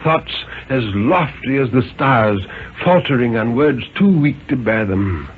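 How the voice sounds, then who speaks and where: A man voicing a solemn, gently chiding ancient man in a slow, sighing, fatherly way for a radio story. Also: mid-range voice, lively intonation, natural voice. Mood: lofty, solemn. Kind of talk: 1950s radio dramatic reading. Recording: narrow-band, noisy old radio recording.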